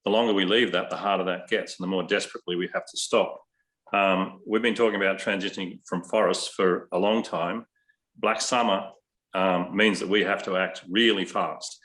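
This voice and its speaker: A man's voice: low-pitched, fairly even intonation, moderate pace, a bit bright. An Australian man making his case as a candidate at an online forum. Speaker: election candidate. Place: online video call.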